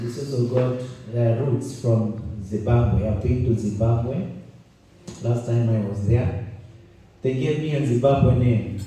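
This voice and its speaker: A man's voice: mid-range voice, warm tone, recorded from a distance. A Kenyan man hosting a conference, talking in a chatty, jokey way with many restarts. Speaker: event host and journalist. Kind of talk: conference opening speech. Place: conference room. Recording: roomy hall, mic set back.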